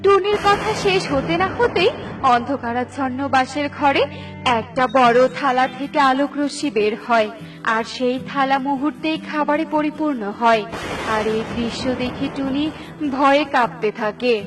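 Woman, high voice, scripted cartoon storytelling, faint music behind. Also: moderate pace, poor audio. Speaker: narrator. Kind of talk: scripted children's story narration.

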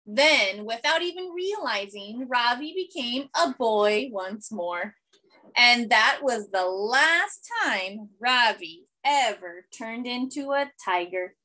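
A woman in her thirties reading a children's picture book aloud expressively, with deliberate, simple phrasing and emphasis. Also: fairly high pitch, expressive, pushed and bright. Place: small indoor room.

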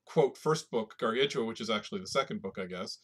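A man's voice: lowish voice, fast, a bit bright, breathy edge. A man co-hosting a podcast, chatting casually about books in loose, informal phrasing. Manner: informal, loose phrasing with "like", "right?" and "you know". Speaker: podcast co-host. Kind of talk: casual conversational book discussion.

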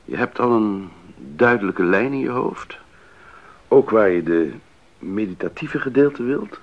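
An actor playing a respectful, attentive librettist in a 1980s radio drama, speaking in a courteous, formal way. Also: male voice, lowish voice, lively intonation, unhurried. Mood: curious. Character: respectful, attentive male librettist, honoured collaborator. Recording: muffled 1980s radio recording.

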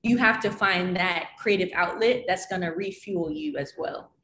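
A woman's voice: fairly low pitch, normal speed, fairly bright tone, slight background noise. A young woman speaking as an interview guest over a video call, fluent and casual with frequent "like.". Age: young adult. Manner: fluent, uses "like" often, gestures while talking. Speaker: guest, creative strategist. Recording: roomy video call audio.

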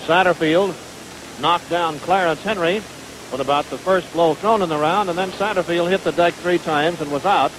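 High-pitched American boxing commentator, noisy vintage broadcast. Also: male voice. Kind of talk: 1950s live television sports commentary. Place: ringside at an indoor stadium.

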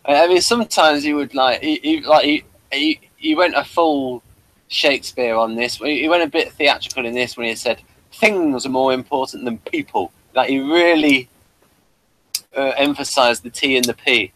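A man hosting a casual commentary chat about a TV episode, repeating words haltingly as he thinks. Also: medium pitch, a bit bright, low-quality recording. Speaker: podcast host.

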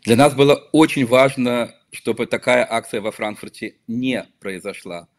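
Earnest middle-aged man on a video call. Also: medium pitch. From Belarus. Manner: measured, emphatic, with hesitations and self-corrections. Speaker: interview guest, local politician and activist. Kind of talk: earnest remote interview answers. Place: office with small desk flags, indoors. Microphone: video call webcam.

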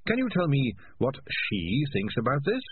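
A man voicing a small, earnest male rat in a storybook reading, calm, polite and thoughtful. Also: medium pitch, normal speed, pitched above the performer's own voice. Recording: tinny, lo-fi sound with faint noise.

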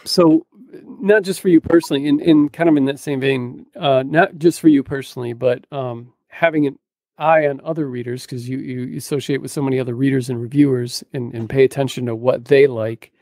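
A man co-hosting a podcast, asking casual interview questions in a halting, hesitant way, close to his mic. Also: medium pitch, steady tone, darkish tone, no room sound. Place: home room with books, on a video call. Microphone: close mic on a boom arm.

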